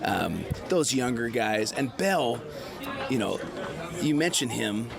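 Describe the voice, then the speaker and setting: Gravelly male coach, busy crowd behind. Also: mid-range voice, expressive, takes it slowly, over music. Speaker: football coach. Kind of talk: informal media scrum interview.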